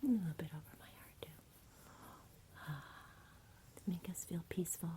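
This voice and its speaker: Female ASMR bedtime voice: mellow, hushed whisper, tender and unhurried, slight noise behind. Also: on the low side. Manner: soothing, gentle, unhurried, as if to a child. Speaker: ASMR performer playing a caregiver at bedtime. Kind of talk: soft-spoken whispered ASMR bedtime roleplay.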